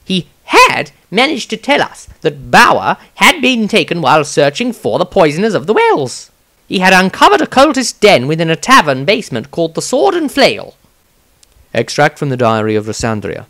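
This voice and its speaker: A man's voice: high-pitched, huge pitch swings, normal speed, a bit bright, dry recording, clean studio sound. A man reading scripted fantasy narration as a dramatic storyteller. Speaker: author reading his own story.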